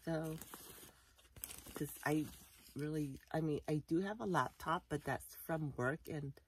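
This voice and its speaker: A woman's voice: low-pitched, measured pace, slightly rough, rough recording. Chatty woman rambling with fillers. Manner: chatty, rambling, many fillers like "you know". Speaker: vlogger reviewing a backpack. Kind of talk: casual unboxing show-and-tell. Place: indoor room full of collectibles.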